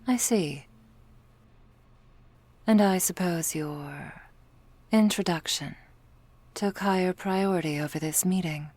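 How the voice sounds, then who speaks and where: A woman playing an imperious female vampire crime boss in an ASMR roleplay, with slow, formal, silky, threatening speech. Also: fairly low pitch, darkish tone. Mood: cool, displeased.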